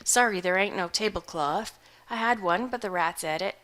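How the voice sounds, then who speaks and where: A woman reading aloud voices a tall, gaunt, grizzled old farmer man who talks in a slow, mournful country drawl with rustic grammar. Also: mid-range voice, a bit bright, lightly acted. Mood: placid.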